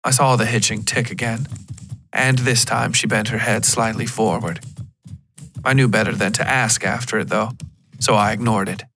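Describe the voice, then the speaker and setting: A man narrates a dark first-person story for a fiction podcast. His voice sits low with a gravelly creak, and there is clear noise behind the reading. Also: steady tone, normal speed.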